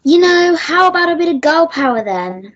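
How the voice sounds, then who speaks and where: A woman's voice: very high voice, big pitch swings, moderate pace, muffled recording. A woman playing an outspoken, indignant audience member phoning in to a radio play. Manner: assertive, complaining. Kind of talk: scripted radio play dialogue.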